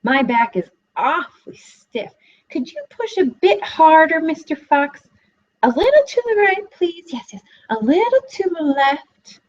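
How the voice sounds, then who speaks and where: A woman reading aloud and voicing a sly, cheerful little male piglet who talks in a sweet, wheedling, high voice. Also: big pitch swings, measured pace, dull audio.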